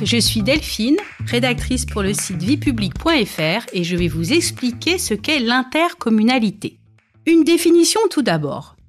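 A woman podcast presenter with a French accent from France reads a scripted explainer. Her intonation is very lively and varied, and her delivery is didactic and clearly structured. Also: medium pitch. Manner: didactic, structured, poses questions then answers them.

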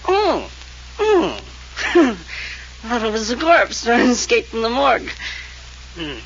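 Tipsy mocking drunk, chuckling drawl, lively pitch, dull lo-fi radio, faint hiss. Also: male voice, slow pace, exaggerated voice. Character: drunken sardonic man of the house, flippant and mocking. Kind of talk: 1940s radio acting.

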